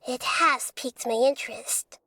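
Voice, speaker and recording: A woman playing a wise, composed young goddess in a video game, speaking calmly, deliberately and formally. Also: high-pitched, vocal fry, slight background noise, full character voice. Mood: stern, composed. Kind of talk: scripted game dialogue.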